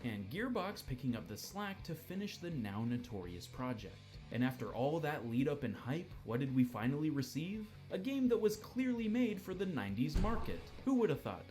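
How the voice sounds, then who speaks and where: Animated male game-countdown narrator. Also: fairly high pitch, normal speed, few pauses. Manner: wry, upbeat commentary. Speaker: video narrator. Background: faint music.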